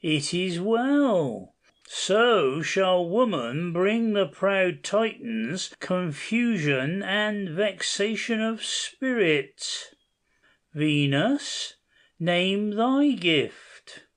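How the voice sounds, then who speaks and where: A man playing a stately, scheming, vengeful king of the gods in an audiobook myth play, solemn and measured. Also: high voice, expressive, a bit bright, heavily acted. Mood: grave, commanding. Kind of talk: amateur audiobook play reading, declamatory.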